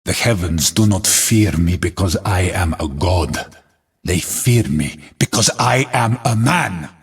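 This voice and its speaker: An actor playing a grave, proud, battle-hardened warrior in a video game, solemn and declamatory, with a gravelly, husky voice. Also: male voice, sits low, lively intonation, slow pace, recorded from a distance, heavily acted. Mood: solemn resolve. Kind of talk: epic video game voice acting.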